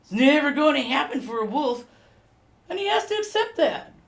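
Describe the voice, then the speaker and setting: A woman's voice: sits high, very animated, moderate pace, vocal fry, distant mic, heavily acted. A woman playing an aggrieved, gossipy anonymous actor who insinuates about colleagues in a comedy mock interview. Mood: snippy, aggrieved. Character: anonymous gossipy insider wolf-role actor, snippy and aggrieved.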